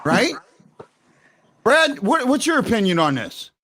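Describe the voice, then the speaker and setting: A man hosting a fan talk show, loud and excitable, rambling and restarting his sentences as he argues his point. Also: very high-pitched, unhurried, a little hiss or hum. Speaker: podcast host. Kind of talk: heated hockey fan call-in talk. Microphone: headphones.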